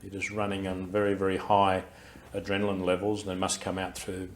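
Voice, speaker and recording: An older Australian man being interviewed, recalling his experiences in a slow, reflective way with frequent fillers and restarts. He has a loud, carrying voice. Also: sits low, completely flat delivery, slight background noise. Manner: reflective, unhurried recollection with many fillers and restarts. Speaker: interviewee, war veteran. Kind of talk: recorded oral history interview.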